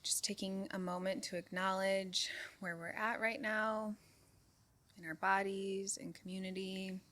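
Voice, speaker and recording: Slow, even-toned woman guiding meditation. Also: medium pitch. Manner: calm, soothing, unhurried with long pauses. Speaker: meditation group leader. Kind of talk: guided meditation, soft spoken instruction.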